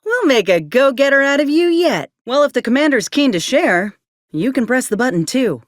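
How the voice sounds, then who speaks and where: A woman's voice: high voice, big pitch swings, normal speed, dry recording, studio quality. Folksy Southern frontier woman, swinging intonation. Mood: wry. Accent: Southern American. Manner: plainspoken, wry, folksy. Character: plainspoken, wry frontier woman, tough and observant companion. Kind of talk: video game companion dialogue.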